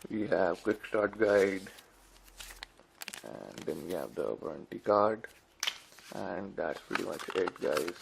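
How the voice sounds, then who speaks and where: A man's voice: low-pitched, vocal fry, background noise. A man giving casual, informal unboxing commentary as a tech reviewer, talking directly to viewers. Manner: informal, addresses viewers as guys.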